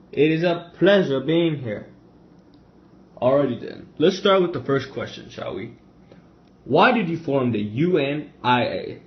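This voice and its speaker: Man playing a formal 1920s radio man, muffled. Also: a little high. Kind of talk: scripted amateur radio broadcast role-play. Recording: dull, lo-fi recording.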